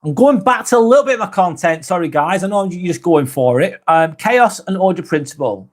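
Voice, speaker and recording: A man's voice: sits high, animated, brisk. An English man hosting a live vlog, chatting casually with viewers, often correcting himself and laughing easily. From England. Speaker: live vlog host reading chat. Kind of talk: casual livestream chat.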